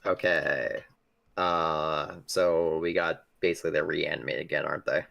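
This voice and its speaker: A man's voice: very deep voice, steady tone, moderate pace, vocal fry, bright, forceful tone, highs cut off. A man playing a tabletop game, casually explaining rules and moves, with some swearing.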